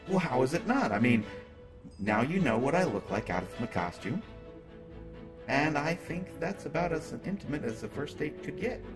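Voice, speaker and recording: A man playing a flirtatious, teasing superhero who is secretly smitten, in a halting, playful conversational way for a roleplay audio drama. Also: fairly high pitch, very animated, over music. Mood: flirty, playful. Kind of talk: roleplay audio drama, acted monologue to listener.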